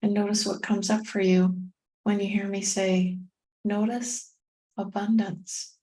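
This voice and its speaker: A woman leading a guided meditation in a soothing, gentle manner, leaving long pauses between short phrases. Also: medium pitch, flat delivery, rough recording. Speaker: meditation guide and tapping coach.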